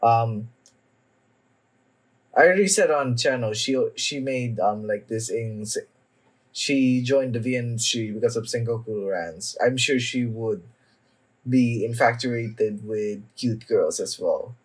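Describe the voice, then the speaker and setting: Husky, slow-talking young man. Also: medium pitch, rough recording. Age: young adult. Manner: halting, trailing off mid-thought. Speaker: anime reaction vlogger. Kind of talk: casual off-the-cuff reaction commentary. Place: small home room, indoors.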